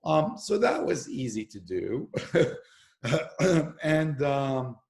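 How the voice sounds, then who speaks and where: Gravelly-voiced man in an echoey room. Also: mid-range voice, slow. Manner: animated storyteller with many fillers and restarts. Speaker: actor and podcast guest. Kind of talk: casual interview conversation.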